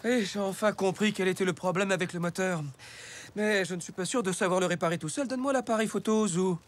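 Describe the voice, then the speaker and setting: A man's voice: high voice, fast, lightly acted. A man voicing a busy, practical zebra father in a children's cartoon. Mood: brisk, preoccupied.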